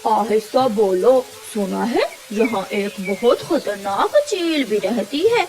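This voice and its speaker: A woman's voice: mid-range voice, lively intonation, slow pace, darkish tone, a little hiss or hum, pitched below the performer's own voice. A woman voicing an anxious male crow in a children's cartoon, urgent and pleading. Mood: fearful.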